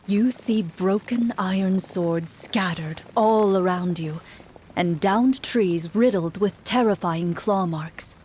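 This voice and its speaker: A woman voicing a female game master who narrates a tabletop adventure in measured second-person storytelling, in video game voice acting. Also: mid-range voice, background noise, close to an everyday voice.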